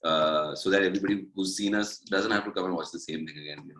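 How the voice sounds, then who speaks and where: A man's voice: low voice, quick pace, a bit bright. A man with an Indian accent chatting casually as a musician guest, rambling and leaning on filler "like". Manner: rambling, peppered with "like". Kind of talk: casual chat.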